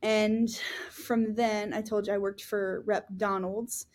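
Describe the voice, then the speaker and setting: A woman co-hosting a podcast, telling her own story in an earnest, casual way, often pausing with ums and restarting her sentences. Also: mid-range voice, level tone, a little mellow. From Florida.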